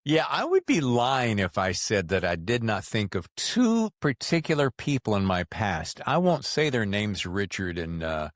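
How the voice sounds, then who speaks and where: A man's voice: fairly low pitch, sing-song, measured pace, few pauses, dry recording, clean studio sound. A man hosting a casual interview, talking in an effusive, colourful, joking way. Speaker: podcast host and interviewer.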